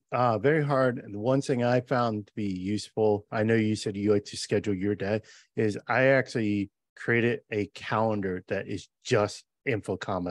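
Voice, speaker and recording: A man in his forties, a programmer co-hosting a casual podcast over a video call, explaining his views earnestly in quick bursts, wearing headphones at a podcast mic. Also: on the low side, dry recording. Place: home office on a video call.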